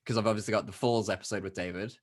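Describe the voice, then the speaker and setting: A young man with a somewhat low voice co-hosts a casual movie podcast. He is chatty and laughs often, and he drops quick asides as he talks. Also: fairly even intonation. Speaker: podcast co-host. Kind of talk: casual chat.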